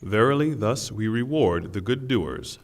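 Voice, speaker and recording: A man reading a scripture translation in a solemn, measured and deliberate way. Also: on the low side, animated. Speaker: translation reader. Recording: long hall reverb.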